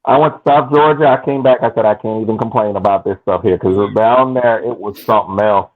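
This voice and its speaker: A man's voice: lowish voice, brisk. A man talking emphatically in a casual podcast chat, repeating his points for weight. His voice comes through thin and tinny, like over a phone line.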